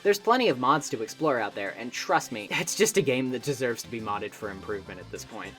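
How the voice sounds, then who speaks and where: A man's voice: medium pitch, lively intonation, fairly quick, a bit bright. A man delivering scripted video essay narration in an emphatic, conversational and wry manner. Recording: music playing behind the voice. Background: background music.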